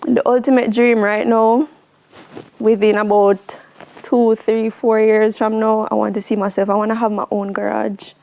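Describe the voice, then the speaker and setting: A woman's voice: fairly high pitch, little pitch movement, moderate pace, gravelly, a little mellow. A twenty-year-old woman with a Jamaican accent talking casually and earnestly as an interview guest, often emphatic. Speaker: interview guest, young mechanic.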